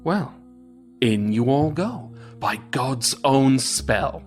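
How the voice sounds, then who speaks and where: A man's voice: mid-range voice, expressive, faint music behind. Man playing a bold, commanding folktale soldier. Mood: defiant. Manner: brisk, commanding, boastful. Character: bold, cunning male discharged soldier, cocky and commanding.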